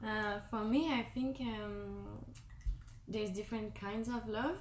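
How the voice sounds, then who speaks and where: Woman guest, mid-pitched, halting non-native phrasing, echoey room. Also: fairly even intonation, slight background noise. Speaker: podcast guest. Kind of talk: casual chat.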